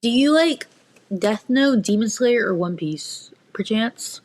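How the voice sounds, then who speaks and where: A woman's voice: a little high, lively intonation, slow, a little mellow, rough recording. Shy hesitant young woman. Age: young adult. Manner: shy, nervous, hesitant with many fillers. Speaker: chat guest. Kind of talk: casual video chat.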